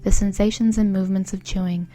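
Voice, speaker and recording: Mellow-voiced woman guiding mindfulness. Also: medium pitch, steady tone, quick pace. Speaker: mindfulness guide and interviewer. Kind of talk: guided mindful eating exercise, then soft interview.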